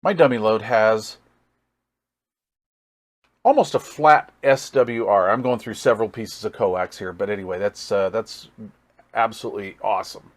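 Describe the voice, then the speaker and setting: A man's voice: on the low side, normal speed, pauses often. Casual man thinking aloud, folksy enthusiasm. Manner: thinks aloud while working. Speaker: radio reviewer and hobbyist. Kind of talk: casual hands-on product demonstration.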